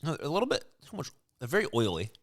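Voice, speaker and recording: Lively, casual man co-hosting. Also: mid-range voice, very fast. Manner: informal, repeats short phrases. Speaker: podcast co-host. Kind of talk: casual snack-tasting chat.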